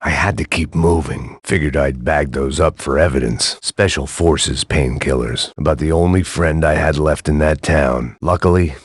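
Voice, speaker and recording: A world-weary middle-aged ex-cop narrates a noir video game in a bleak, sardonic deadpan. His voice sits extremely low and sounds husky and gravelly. It is recorded dry and close, with no room sound. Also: dry recording, heavily acted. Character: world-weary, hard-drinking male ex-cop antihero.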